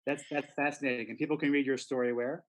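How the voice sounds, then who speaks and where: A man's voice: medium pitch, fairly even intonation, fast talker. A man from Belgium who later moved to the United States, chatting casually as an interviewing reporter.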